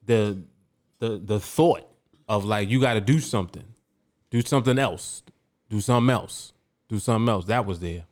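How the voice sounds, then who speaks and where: Man, host, relaxed and joking, lowish voice, dry close sound. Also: normal speed, long pauses, no echo. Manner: laid-back, joking, reads questions aloud. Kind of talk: casual chat reading viewer questions.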